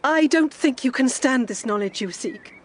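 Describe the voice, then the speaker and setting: A woman's voice: sits high, expressive, moderate pace, a little hiss or hum. An actress playing an anxious, guarded middle-aged woman, hesitant and pleading, in a period audio drama. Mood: urgent pleading. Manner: hesitant, pleading, formally polite. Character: anxious, guarded older female guardian keeping a sorrowful secret.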